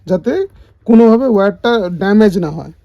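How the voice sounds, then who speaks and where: A man's voice: sits high, animated, moderate pace, muffled recording. A man with an Indian Bengali accent demonstrates an installation in a casual, hands-on tutorial style.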